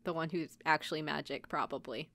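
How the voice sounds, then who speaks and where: A woman's voice: deep voice, fairly even intonation, fairly quick, gravelly, pushed and bright. A woman podcast host giving a casual, chatty recap, informally acting out bits of dialogue with wry commentary. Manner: informal, acts out characters' lines in passing.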